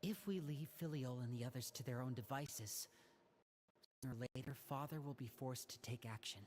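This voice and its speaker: A man playing a composed, protective young leader in an anime video game, speaking in a measured, deliberate way. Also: medium pitch, darkish tone, slight background noise, lightly acted. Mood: grave, thoughtful. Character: composed young male leader, worried protective older brother.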